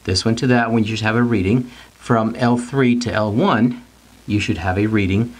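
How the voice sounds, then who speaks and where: Low-voiced man teaching step by step. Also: moderate pace, rough recording. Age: middle-aged. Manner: plain-spoken, repeats and rephrases points step by step. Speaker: technical instructor. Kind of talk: whiteboard lesson, informal explanation.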